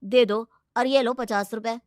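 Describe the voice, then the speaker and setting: A woman's voice: a little high, steady tone, a little slow, darkish tone, dry recording, studio quality, lightly acted. A woman voicing a nosy village customer who questions and complains in a moral story. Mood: protesting. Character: nosy, protesting village customer of a food cart. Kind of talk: animated moral-story character dialogue.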